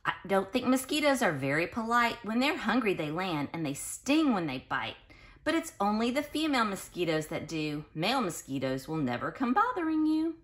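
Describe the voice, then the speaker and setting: A woman reading a children's picture book aloud in an expressive, sing-song way, speaking directly to young listeners. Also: mid-range voice. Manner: pauses to explain and question listeners directly. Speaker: children's read-aloud host.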